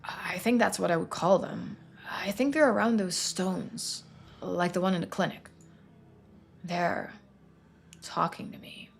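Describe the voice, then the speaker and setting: A woman voicing a troubled young man trapped in his town, speaking haltingly and sometimes in a near whisper, for a story game. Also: lowish voice, gravelly, a little hiss or hum. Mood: anxious, haunted.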